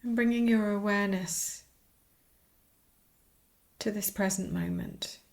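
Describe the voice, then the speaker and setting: A woman teaching a guided meditation, speaking soothingly in short, unhurried phrases with pauses. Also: medium pitch, fairly even intonation, creaky.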